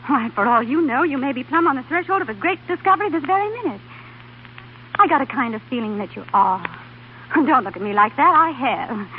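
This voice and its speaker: Anxious young wife, tinny old radio. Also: female voice, high-pitched, expressive, a little fast. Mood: coaxing, encouraging. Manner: folksy, fretful, coaxing. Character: devoted, anxious, plucky young wife of a scientist. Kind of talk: old-time American radio drama acting. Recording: tinny, noisy vintage radio recording.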